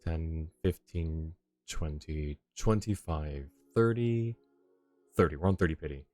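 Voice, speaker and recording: A man's voice: extremely low pitch, measured pace, a little mellow. A man streaming a game live, chatting casually and laughing often with muttered asides. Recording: game music faint behind.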